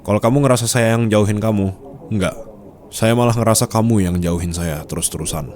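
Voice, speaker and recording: A man's voice: lowish voice, brisk, a little mellow, natural voice. A man playing a possessive, teasing company boss in an intimate ASMR roleplay, coaxing and insistent as he talks closely to the listener. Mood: reassuring, earnest. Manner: close, coaxing, insistent, with short prompting questions. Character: possessive, teasing male company boss, commanding yet forgiving.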